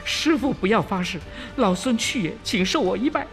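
A man voicing a brash, cocky, quick-tempered monkey warrior in an excitable, defiant storybook manner. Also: high voice, gravelly, long reverb tail, faint music behind, voice pushed up, full character voice. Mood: aggrieved.

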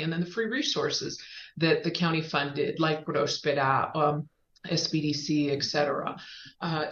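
A woman's voice: sits low, fairly bright tone. A middle-aged woman from Spain giving a conversational interview answer about herself, correcting herself as she goes. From Spain, on US military bases. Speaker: guest, economic development executive. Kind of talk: interview answer, career narrative. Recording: roomy, slightly dull sound.

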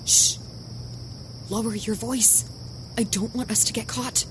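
An actress playing an anguished, fragile young ex-girlfriend in an audio drama, pleading in a hushed, halting way. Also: fairly high pitch, steady tone. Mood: hushed, expectant. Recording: noisy, night insect ambience behind.

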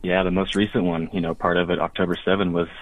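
A man calling in to a radio show, speaking casually in a halting, understated way. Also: deep voice, level tone, no echo, phone-quality sound. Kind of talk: casual conversation.

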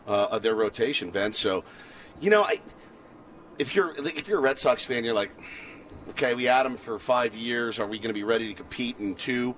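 A man's voice: medium pitch, fairly bright tone. A man co-hosting casual sports talk, giving opinions emphatically and repeating short phrases for weight. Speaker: sports radio co-host. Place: outdoor patio at a ballpark complex. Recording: clear ambient background noise.

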